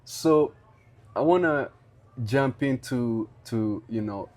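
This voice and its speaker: A young man from Rwanda hosting a podcast, talking in an earnest, halting way with many restarts. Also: medium pitch, a little mellow. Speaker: podcast host. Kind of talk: casual interview chat.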